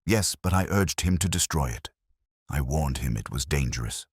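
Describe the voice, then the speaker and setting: A man voicing a frightened, banker-like gentleman in an audiobook, formal and defensive as he pleads. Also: very deep voice, animated, moderate pace, slightly rough, no room sound, studio quality, full character voice. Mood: anxious pleading. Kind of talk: detective audiobook dialogue.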